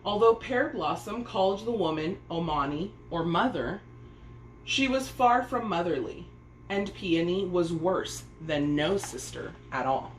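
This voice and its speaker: A woman reading a children's story aloud as an expressive narrator. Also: lowish voice, unhurried, faint music behind. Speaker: storybook reader. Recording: echoey room, faint background noise.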